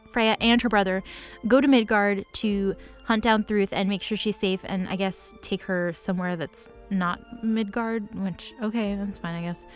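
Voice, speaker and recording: A woman's voice: mid-range voice, steady tone, normal speed. A woman giving a casual, improvised comedic recap of a story in sarcastic, valley-girl phrasing. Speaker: recap narrator. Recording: music under the voice.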